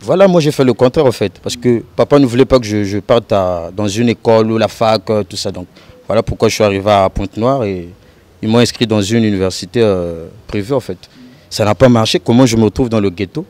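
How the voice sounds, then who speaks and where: A Congolese man from Brazzaville, a guest musician, speaking in a casual outdoor interview in a measured, explanatory way with many "donc, euh" pauses. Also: fairly low pitch, darkish tone. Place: outdoor courtyard. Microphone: handheld mic held by host.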